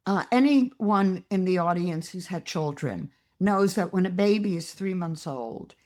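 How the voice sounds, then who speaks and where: A woman psychologist talking as a guest, explaining ideas in a measured, teaching manner. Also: fairly low pitch, darkish tone.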